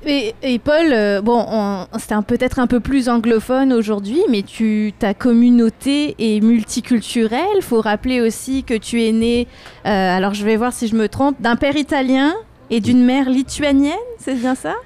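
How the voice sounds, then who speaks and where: A woman hosting an interview, reacting with quick enthusiasm and asking questions. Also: fairly high pitch, mellow. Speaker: host.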